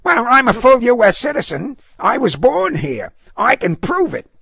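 A man's voice: very high-pitched, huge pitch swings, normal speed, gravelly, sharp tone, breathy edge, no room sound, voice pushed up, full character voice. A man voicing a feisty, stubborn working-class housewife in a storybook, arguing indignantly. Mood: defiant. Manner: indignant, argumentative.

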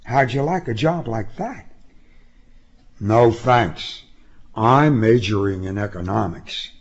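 Man playing an eager young guy, husky voice. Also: on the low side, animated, slow, muffled recording, full character voice. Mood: curious.

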